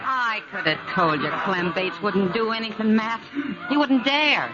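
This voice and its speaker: A woman's voice: medium pitch, unhurried, close to an everyday voice. Casting: a woman as a bold, big-hearted saloon keeper, frank and spirited, dull old radio sound with music behind. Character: bold, warm-hearted female saloon owner. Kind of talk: 1950s radio drama acting.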